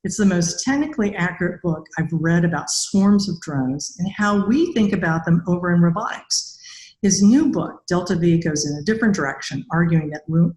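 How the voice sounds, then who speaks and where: The voice is an older woman's, fairly low in pitch. She talks conversationally as an interview host, recorded over a video call in a noticeably echoey room. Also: darkish tone. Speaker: podcast host and robotics researcher. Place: indoor room with bare walls.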